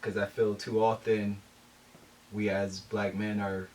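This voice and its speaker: Mid-pitched man, questioning, off-mic. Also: level tone, slow, a little mellow, distant mic, a little hiss or hum, low-quality recording. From Jamaican heritage. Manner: thoughtful, builds arguments with questions. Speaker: podcast co-host. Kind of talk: casual group discussion.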